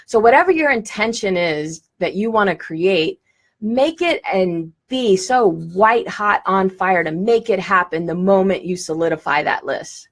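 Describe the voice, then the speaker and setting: Woman teacher, live stream, direct and enthusiastic, occasional laughs, webcam mic. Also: medium pitch. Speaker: law of attraction teacher.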